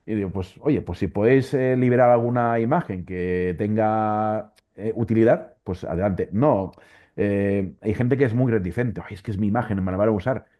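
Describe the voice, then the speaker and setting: Low-voiced Valencian man, dull recording. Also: moderate pace. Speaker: interview guest, volunteer Wikipedia editor. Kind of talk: conversational explanation in an interview.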